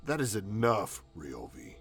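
A stern, battle-hardened warband commander, acted by a man, gives curt orders in a war audio drama. His low voice swings dramatically in pitch over faint music. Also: sing-song, a little slow, fairly bright tone. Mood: stern, impatient. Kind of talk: scripted audio drama acting.

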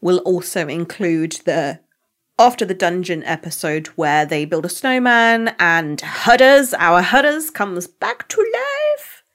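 A young British woman with a lowish voice talks openly in a relaxed podcast chat. She tells personal stories with restarts and self-corrections, and her voice is going a little hoarse. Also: takes it slowly. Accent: British English. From United Kingdom. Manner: candid, reflective storytelling with frequent restarts. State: losing her voice. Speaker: podcast co-host. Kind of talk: casual chat.

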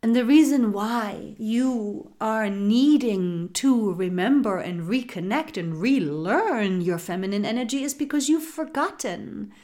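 A woman from Germany, hosting a spiritual podcast in a soft-spoken way and addressing her listeners as sisters. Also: fairly high pitch, expressive, measured pace, few pauses. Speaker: podcast host.

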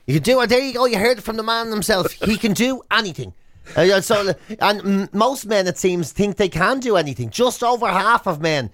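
A man's voice: high-pitched, brisk, no echo. An Irish man co-hosting a comic breakfast radio show, telling stories and acting out imagined dialogue as he goes. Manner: animated storyteller, acts out imagined dialogue.

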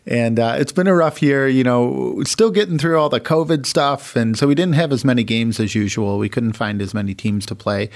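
A man's voice: mid-range voice, normal speed, hardly any pauses. A man, a priest, answering interview questions in a friendly, easygoing way. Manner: friendly, easy laughs. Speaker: priest, guest. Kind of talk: casual interview.

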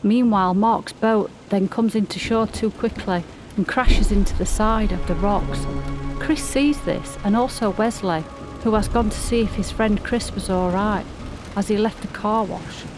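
A woman's voice: mid-range voice, measured pace, over music. A woman reading scripted narration for a radio play.

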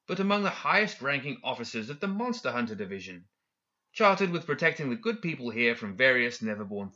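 Man performing suave villainous professor, audiobook character, crisp bright voice, formal, mockingly courteous, slightly dull recording. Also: mid-range voice. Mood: smugly assured. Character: suave, smug, always-controlled male professor villain.

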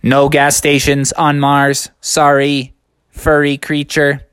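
A man voicing a male Martian alien who speaks slowly and formally. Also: a little high, completely flat delivery, fairly bright tone, clean tone, no echo, clean studio sound. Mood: solemn. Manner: slow, formal, measured.

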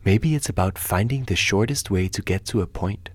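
A man from Denmark, a native Danish speaker, giving calm, reflective narration for a radio documentary. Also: lowish voice, moderate pace, husky, no room sound.

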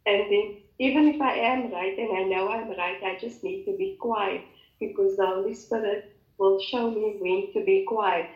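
A woman's voice: mid-range voice, normal speed, echoey room, muffled recording. A woman in a casual faith talk, reflective and sometimes searching for her words. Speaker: co-host.

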